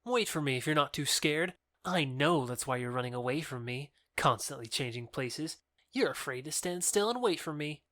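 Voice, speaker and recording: Belligerent young suitor, dry sound. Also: male voice, on the high side, animated, normal speed, fairly bright tone, dry recording. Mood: challenging. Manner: challenging, indignant, theatrical. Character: belligerent young lover challenging his rival. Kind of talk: audio drama acting of modernized Shakespeare.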